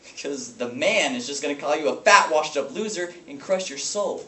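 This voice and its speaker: A man's voice: on the high side, lively intonation, a little fast, bright, forceful tone. A man performing a stage monologue as a bitter, cynical slacker substitute teacher, ranting sarcastically and theatrically. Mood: bitter scorn. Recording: echoey, noisy room from far off.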